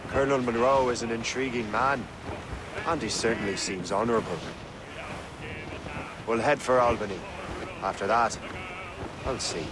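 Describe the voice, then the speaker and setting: An actor playing a wary, plainspoken young sea captain in a video game. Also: male voice, mid-range voice, steady tone, moderate pace, pauses often, lightly acted. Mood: skeptical. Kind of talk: dramatic video game dialogue. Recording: noisy sea and wind ambience.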